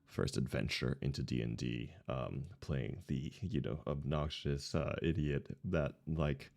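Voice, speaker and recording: Very deep, creaky-voiced man, unhurried. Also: steady tone, a little mellow. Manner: effusive, informal. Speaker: stream host and player. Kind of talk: casual stream sign-off.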